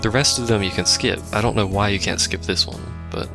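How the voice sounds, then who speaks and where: Man, low voice, vocal fry, deadpan sarcasm, casual gameplay commentary, music in background. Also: brisk. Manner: dry, sarcastic. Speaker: let's play commentator.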